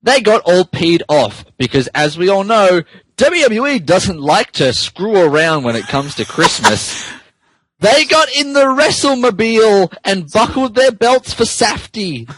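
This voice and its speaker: A man co-hosting a podcast, reading fan fiction aloud with deliberate mock seriousness. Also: high-pitched, big pitch swings, a bit bright, no room sound, poor audio. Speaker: podcast co-host narrating a story.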